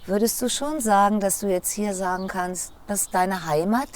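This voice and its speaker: A woman's voice: medium pitch, normal speed, slight background noise. A woman interviewing a guest, asking leading questions and often finishing his sentences. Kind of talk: conversational interview.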